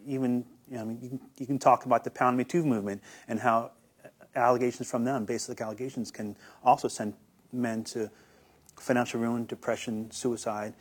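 Young man, interview guest, mid pitch, earnest, stumbling restarts. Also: little pitch movement, fairly quick, slightly rough. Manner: earnest, hesitant, repeats words. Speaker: guest, YouTuber. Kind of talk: conversational interview answers.

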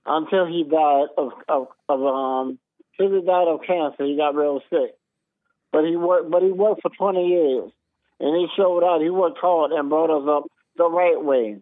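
A man's voice: a little high, unhurried, no echo. A man in his fifties with a Southern US accent, calling into a radio show and arguing insistently, repeating himself. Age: 50s. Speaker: caller. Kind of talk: call-in radio talk. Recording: phone line.

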